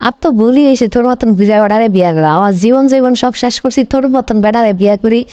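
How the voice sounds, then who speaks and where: A woman's voice: on the high side, racing, dark tone, muffled recording, natural voice. A woman playing a dramatic, blaming wife and shop boss in a comic cartoon, wailing and scolding in a regional Bangladeshi Bengali dialect. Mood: distraught, blaming. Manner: wailing, scolding, repetitive complaining.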